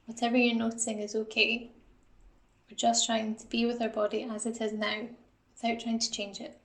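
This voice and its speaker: Female meditation guide, calm and instructional, gravelly fry at phrase level, roomy echoing recording. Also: fairly high pitch. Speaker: assistant psychologist guiding a meditation. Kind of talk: guided mindfulness body scan.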